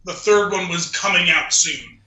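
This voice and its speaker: A man's voice: high voice, a little slow, sharp tone. A man co-hosting a podcast, giving his opinions in casual conversation, recorded in an echoing room. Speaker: podcast co-host.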